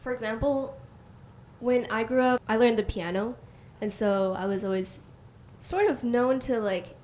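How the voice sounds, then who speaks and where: A young woman hosting a casual chat, soft-spoken and asking her guests questions; she grew up speaking Mandarin at home. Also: a little high, unhurried. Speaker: host.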